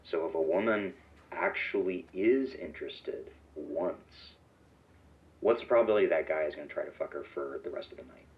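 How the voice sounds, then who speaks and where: A man's voice: low-pitched, moderate pace, muffled recording. A man in an anonymous recorded interview, speaking bluntly and conversationally.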